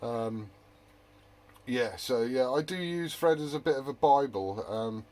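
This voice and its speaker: A man's voice: mid-range voice, animated, a little slow, a little mellow. Chatty man rambling on CB radio. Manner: rambling, chatty, self-correcting. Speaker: beginner CB radio operator. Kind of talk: casual radio chat.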